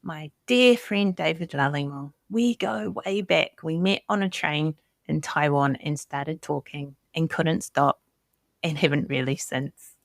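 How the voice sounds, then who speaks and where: A woman's voice: low voice, measured pace, creaky, dry recording, studio quality, soft background music. A middle-aged woman with a New Zealand accent, hosting a podcast interview in a friendly, chatty, informal way. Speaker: podcast host.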